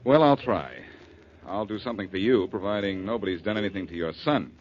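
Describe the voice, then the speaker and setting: An American actor playing a brisk, wry amateur detective in 1940s radio drama style. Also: male voice, fairly low pitch, natural voice. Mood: businesslike. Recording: noisy, dull 1940s radio recording.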